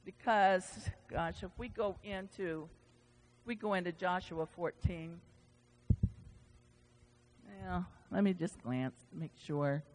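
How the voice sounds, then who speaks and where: A man's voice: sits high, takes it slowly, pauses often. A man preaches in a long, ringing hall reverb. His delivery is conversational, with folksy asides. He repeats phrases for emphasis as he works through scripture. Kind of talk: informal sermon with scripture reading.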